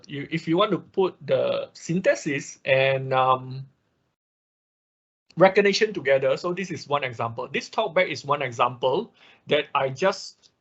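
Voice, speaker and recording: A man giving an informal technical lesson online, explaining haltingly, repeating himself and tagging phrases with quick check-ins. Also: medium pitch, a bit bright, husky. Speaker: workshop instructor. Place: online class over a computer. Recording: dull lo-fi online call audio.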